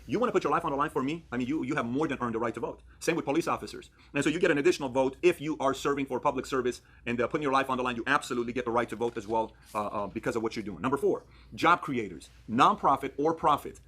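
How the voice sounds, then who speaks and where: Man from Iran, thirties, rapid-fire delivery, rough husky tone, earnest explainer, emphatic, question-and-answer phrasing. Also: medium pitch, fairly bright tone. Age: 30s. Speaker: video presenter. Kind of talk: persuasive explainer presentation to camera.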